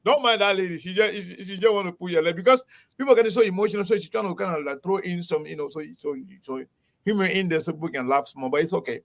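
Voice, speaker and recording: A man hosts a casual live interview. His voice sits a little high and has a gravelly edge. He is animated and laughs often, repeating words as he talks. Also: fast talker. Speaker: host.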